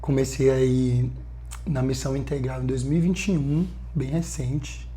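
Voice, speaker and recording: A man in his twenties from Bahia, a missionary guest telling his story in an earnest, lively way with many fillers. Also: medium pitch, a little slow, slight background noise. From Bahia, Brazil. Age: 20s. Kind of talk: casual podcast conversation.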